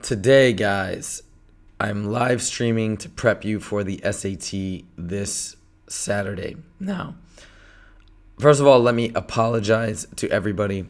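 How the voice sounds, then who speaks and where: A man with a hoarse, failing voice leads a live-streamed math tutoring session, talking through problems step by step with energy. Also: lowish voice, unhurried. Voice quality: gravelly, strained. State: hoarse, losing his voice.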